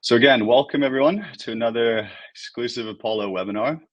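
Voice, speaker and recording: A man's voice: mid-range voice, fast talker, dry recording. A man hosting a webinar interview, asking questions in a loose manner full of fillers. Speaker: webinar host.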